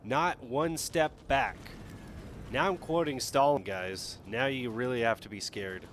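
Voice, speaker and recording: A man's voice: medium pitch, a little slow, a bit bright, a little hiss or hum. A man streaming a strategy game live, talking casually and thinking aloud as he plays. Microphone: headset.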